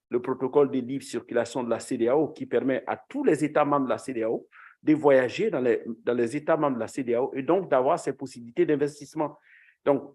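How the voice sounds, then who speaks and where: A man speaking earnestly on a conference panel as a former official, often pausing and restarting phrases. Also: mid-range voice, a little mellow. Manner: earnest, hesitant with frequent restarts.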